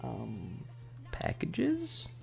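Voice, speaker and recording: An ASMR stream host chatting softly and haltingly to viewers, sometimes in a whisper, close to the microphone. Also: medium pitch, huge pitch swings, creaky, over music. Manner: soft-spoken, halting, talking to chat. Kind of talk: casual ASMR stream chat, partly whispered.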